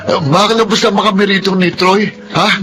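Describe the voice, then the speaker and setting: An actor playing a desperate, impatient young jailed son, whining urgently in a radio drama style. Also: male voice, sits high, steady tone, fairly quick, lightly acted. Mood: impatient, alarmed. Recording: clearly noisy background.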